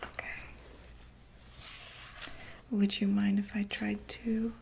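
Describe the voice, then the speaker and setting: A woman whispering softly and breathily in a gentle, reassuring ASMR medical roleplay, speaking close to the microphone. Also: medium pitch, little pitch movement, slow pace, creaky, a little hiss or hum. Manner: gentle, reassuring, hesitant check-up patter. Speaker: ASMR roleplayer playing a doctor for monsters.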